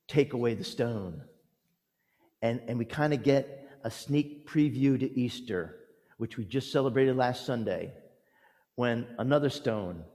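A male preacher delivers a dramatic storytelling sermon in a large hall with long reverb. His voice sits fairly high for a man. He speaks emphatically and theatrically, shaping phrases with pauses and swings in volume.

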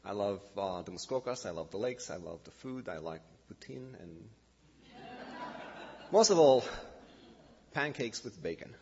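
A middle-aged man from Romania with a Romanian accent, a pastor giving a halting, nervous sermon introduction full of fillers and self-corrections. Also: fairly low pitch, very animated, darkish tone, long reverb tail, lo-fi sound. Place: church hall.

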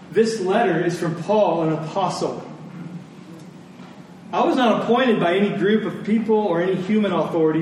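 Echoey, high-voiced man preaching a sermon. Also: darkish tone. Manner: emphatic, with deliberate repetition and rhetorical questions. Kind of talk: sermon to a live congregation. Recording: very echoey room, distant mic, faint noise.

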